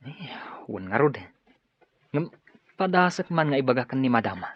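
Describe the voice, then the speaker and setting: Friendly hopeful workmate, mid-pitched, muffled radio drama recording. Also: male voice, very animated, moderate pace, dry recording. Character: friendly, hopeful male worker chatting with his mate. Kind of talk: Ilocano radio drama acting. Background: music.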